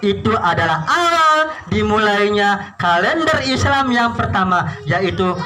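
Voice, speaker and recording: A man telling religious stories to children in an animated, interactive way, asking questions and repeating phrases. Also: sits high, measured pace. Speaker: children's storyteller.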